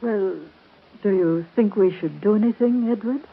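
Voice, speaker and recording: Fretful genteel aunt, tinny old radio. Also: female voice, mid-range voice, moderate pace, husky. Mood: worried. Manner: worried, hesitant, proper. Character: anxious genteel middle-aged aunt, fretting over money. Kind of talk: 1930s radio soap acting. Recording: noisy, tinny 1930s radio recording.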